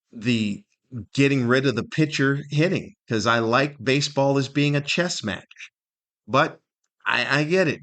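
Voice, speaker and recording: Older man, American accent, mid-pitched voice, casual podcast host, conversational, rambling, self-deprecating. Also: pushed and bright. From Southern California, United States. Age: older, 50s or above. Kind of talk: casual solo podcast chat.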